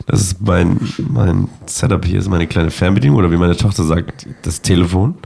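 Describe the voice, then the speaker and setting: A man in his thirties, sick and hoarse, chatting casually and joking as co-host of a podcast. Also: sits low, moderate pace, mellow, a little hiss or hum. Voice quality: raspy, gravelly. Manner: joking, rambling. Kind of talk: casual chat.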